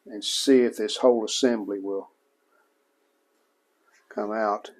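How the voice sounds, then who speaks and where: A man with a fairly low voice talks through hands-on repair work in a casual, plain-spoken way. His pace is very slow and unhurried, as if thinking aloud while he works. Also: steady tone. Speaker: hobbyist restorer presenting a repair video.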